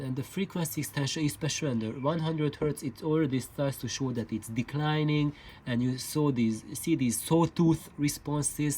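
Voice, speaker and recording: Slow-talking man explaining, hesitant and meandering. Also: medium pitch, a little mellow, slight background noise. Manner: hesitant, meandering, repeats words. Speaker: video presenter on loudspeaker building. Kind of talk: informal explainer talk over slides.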